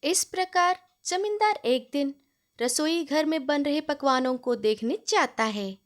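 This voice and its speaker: A woman's voice: sits high, expressive, a little slow. A woman storyteller reading a scripted tale as narration.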